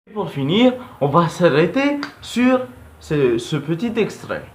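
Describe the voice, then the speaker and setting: A man's voice: fairly high pitch, big pitch swings, measured pace, echoey room, heavy background noise. A young man giving casual, slangy video commentary in an informal, digressive way. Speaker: video host.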